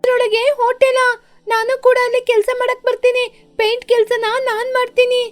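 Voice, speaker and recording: A woman voicing a fussy, cheeky small bird with a squeaky delivery in an animated children's moral story. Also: a little fast. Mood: excited.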